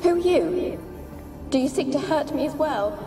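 A woman's voice: sits high, lively intonation, moderate pace, over music. An actress playing the plaintive, fearful ghost of a young noblewoman in a video game, with archaic phrasing. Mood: lonely, wary. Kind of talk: dramatic video game acting.

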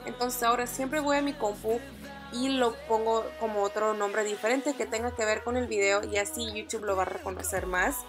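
A woman's voice: fairly high pitch, steady tone, normal speed, over music. A young woman whose first language is English, chatting upbeat through a screen-recorded tutorial with small fillers and restarts. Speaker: beauty vlogger.

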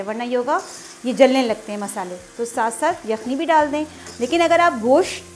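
A woman's voice: sits high, a little mellow. A woman hosting a cooking show, explaining each step chattily and often praising the dish. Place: home kitchen at the stove.

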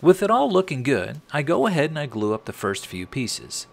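Lively American man with gravelly fry. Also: on the high side, normal speed. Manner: wry, tongue-in-cheek. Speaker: host of a woodworking channel. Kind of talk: scripted tutorial narration.

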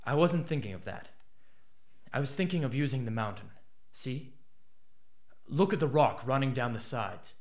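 A man's voice: mid-range voice, lively intonation, normal speed, pauses often, close to an everyday voice. An actor playing an embittered, intense young male rebel in a radio drama. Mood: persuasive.

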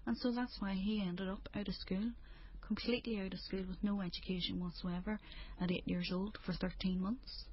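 A woman's voice: mid-range voice, steady tone, normal speed, few pauses, husky, muffled recording. A woman with a Northern Irish accent talking personally as a parent in an intimate conversation.

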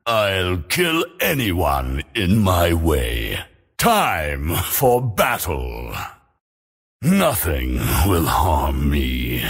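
An actor playing an arrogant, bloodthirsty demonic male sorcerer in a video game, with a slow, threatening, boastful delivery. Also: wildly swooping pitch, fairly bright tone, soft background music, full character voice. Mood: menacing. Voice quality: deep gravelly menacing growl.

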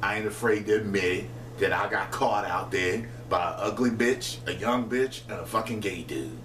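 A man in his thirties telling a story to camera in a casual, animated, profane way, with slang, in a small home kitchen. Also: lowish voice, normal speed, distant mic. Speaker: vlogger. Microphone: camera a few feet away. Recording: roomy echo, voice a bit off-mic, faint hiss.

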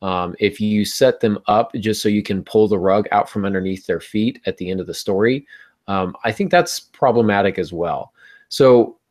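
Low-pitched American man hosting a podcast. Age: middle-aged. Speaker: podcast host and author. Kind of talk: conversational explanation on a live podcast. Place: small home room, indoors.

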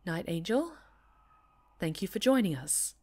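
A woman playing a composed TV talk-show host in an audio roleplay, speaking in a measured, polished, formal way. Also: fairly low pitch, big pitch swings, mellow. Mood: poised, presenting. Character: poised female TV talk-show host, composed under threat.

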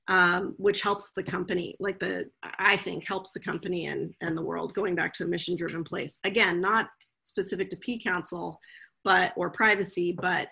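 Woman on a zoom call from home, lowish husky voice, thinks out loud, lots of ums. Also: fairly even intonation, moderate pace. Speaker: guest, legal professional. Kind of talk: casual video-call chat. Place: home room, indoors. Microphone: video call.

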